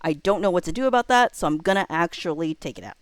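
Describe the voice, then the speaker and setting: Low-pitched woman rambling casually, dry close sound. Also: expressive, fast, no echo. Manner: rambling, self-interrupting, informal. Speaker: livestream host. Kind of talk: casual livestream chat, thinking aloud.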